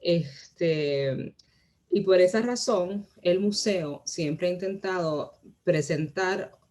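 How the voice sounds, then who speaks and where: A woman from Rico, giving a lecture as a guest curator, often pausing with fillers and restarting her sentences. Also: lowish voice, a little mellow. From Puerto Rico. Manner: hesitant, many fillers and restarts. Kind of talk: lecture presentation.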